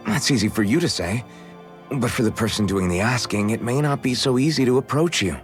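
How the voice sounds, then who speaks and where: An actor playing an earnest young male warrior in a game, a patient peacemaker with a measured way of talking. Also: mid-range voice, lively intonation, close to an everyday voice. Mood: hesitant, earnest. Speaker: game character voice. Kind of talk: scripted English game voice acting. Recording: background music under dialogue.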